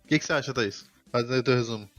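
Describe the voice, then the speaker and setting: Male Brazilian co-host, mid-range voice, relaxed conversational delivery, light music underneath. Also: medium pitch, rapid-fire, dull audio. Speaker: podcast co-host. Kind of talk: casual chat. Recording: faint music behind the voice.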